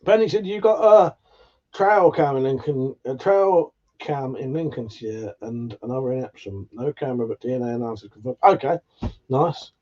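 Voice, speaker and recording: A man's voice: mid-range voice, lively intonation, moderate pace, a little mellow, lo-fi sound. A man from England, hosting a casual livestream, reading out chat comments in a sweary, jokey way with frequent laughs. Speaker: livestream host.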